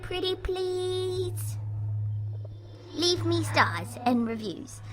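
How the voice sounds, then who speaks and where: A woman's voice: extremely high pitch, sing-song, drawn-out words, heavily acted. A woman voicing a sweet, eerie little girl with a childlike, coaxing, sing-song delivery in an audio drama promo. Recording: faint music behind the voice.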